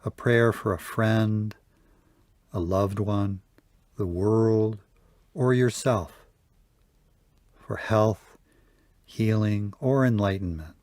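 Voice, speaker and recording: A man leading a guided yoga nidra meditation, speaking softly and calmly with long pauses. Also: fairly low pitch, no room sound, studio quality. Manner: slow, soft, calm guiding with long pauses. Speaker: meditation teacher.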